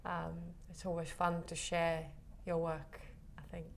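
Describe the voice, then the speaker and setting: A woman from the Republic, a photographer guest talking in a relaxed interview, soft and hesitant with many pauses. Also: lowish voice, creaky, slight background noise. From Czech Republic.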